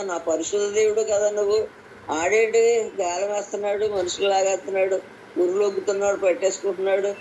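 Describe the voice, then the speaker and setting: A woman's voice: mid-range voice, a little mellow. A woman gives an informal devotional sermon over a conference call, explaining scripture and repeating phrases for emphasis. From Andhra Pradesh, India. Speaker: lay preacher at a prayer meeting. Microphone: phone on a conference call. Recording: noisy conference call audio.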